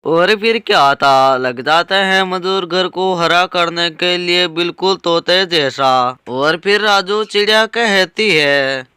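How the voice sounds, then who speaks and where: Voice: medium pitch, takes it slowly, few pauses, sharp tone, smooth, dry recording, clean studio sound. A narrator reading a scripted children's story in a storybook style.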